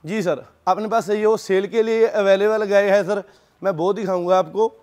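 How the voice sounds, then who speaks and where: A man selling dairy cattle, giving an informal pitch and respectfully addressing the listener as sir again and again. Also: fairly even intonation, normal speed, darkish tone. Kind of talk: informal sales pitch to camera. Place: dairy farm.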